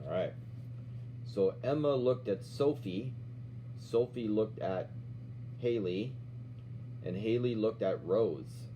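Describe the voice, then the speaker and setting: A middle-aged man reading a children's storybook aloud as an instructor, pausing to explain and check in with questions. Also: fairly low pitch, mellow. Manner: checks in with questions, often ending "right?". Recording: dull lo-fi sound with faint hum.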